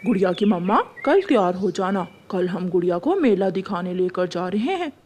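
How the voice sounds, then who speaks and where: A woman's voice: lowish voice, expressive, normal speed, a little mellow, a little hiss or hum, pitched below the performer's own voice, natural voice. A woman voicing a doting, cheerful father bird in a children's cartoon. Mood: cheerful, indulgent.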